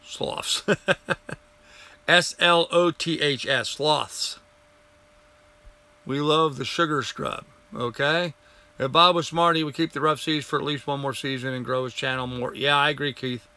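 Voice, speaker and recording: Bright-voiced older man hosting a live stream. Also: fairly high pitch, fairly even intonation, measured pace, clear, resonant tone. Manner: rambling, friendly, greets viewers by name. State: hoarse. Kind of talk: casual live stream chat reading viewer comments.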